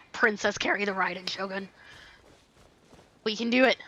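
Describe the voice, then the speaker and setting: Bright-voiced woman streaming a game. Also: fairly high pitch, vocal fry, a little hiss or hum. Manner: playful, talks back to the game's characters. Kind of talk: casual live gameplay commentary.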